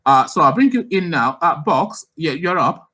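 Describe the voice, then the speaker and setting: A man's voice: on the high side, expressive, normal speed, bright, forceful tone. A man hosting a live call-in discussion, talking in a loose, chatty way with many fillers. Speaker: host.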